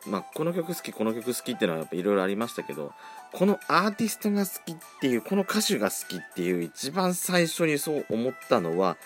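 A man's voice: mid-range voice, wildly swooping pitch, fast. A man around forty hosting a casual solo podcast, chatting with campy, feminine phrasing. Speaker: podcast host. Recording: faint background music.

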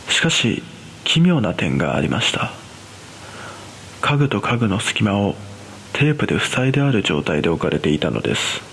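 Guy playing an uneasy cleanup worker telling a creepy radio drama tale, kinda low voice, moves around a lot, some hiss behind it. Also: gravelly, a bit bright, exaggerated voice. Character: uneasy male trauma-scene cleaner recounting an eerie experience. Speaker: horror story narrator. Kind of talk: scripted first-person ghost story narration.

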